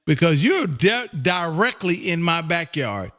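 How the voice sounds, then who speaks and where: A high-voiced American man works the ham radio as host. He is loud and chummy, talks in a folksy, casual way and peppers his sentences with "man". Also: big pitch swings, measured pace, background noise. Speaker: amateur radio operator and livestream host.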